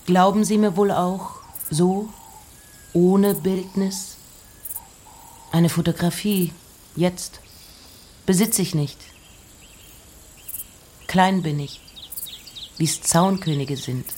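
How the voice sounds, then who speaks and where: Reclusive woman poet, low register, very unhurried, wry, pausing between short phrases, literary radio drama. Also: warm tone. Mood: confiding, wry. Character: Emily Dickinson, reclusive, wry woman poet writing letters. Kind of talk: literary radio reading of poems and letters.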